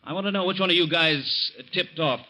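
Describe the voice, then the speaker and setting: A tough American guy out of a 1940s radio drama. His voice is higher than average and cuts through very brightly. He gives orders in hard-boiled slang, with menace. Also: brisk, rough recording. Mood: cold, menacing. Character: tough framed man turning the tables on crooks, menacing. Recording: old 1940s radio recording.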